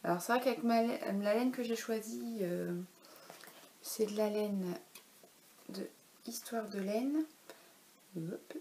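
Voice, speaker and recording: A woman's voice: mid-range voice, slow pace, long pauses, mellow, a little hiss or hum. A woman in her thirties, a knitwear designer, chatting casually at home about her knitting patterns, with frequent hesitations and self-corrections. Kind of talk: casual knitting podcast chat. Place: home, in daylight.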